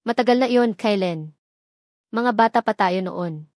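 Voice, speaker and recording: A woman voicing a reserved young man who answers calmly and modestly. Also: medium pitch, unhurried, no echo, clean studio sound, natural voice.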